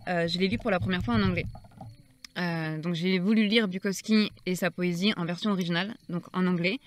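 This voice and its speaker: Soft-spoken, thoughtful woman, dry close sound. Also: mid-range voice, no echo. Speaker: poetry channel host. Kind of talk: reflective monologue on poetry.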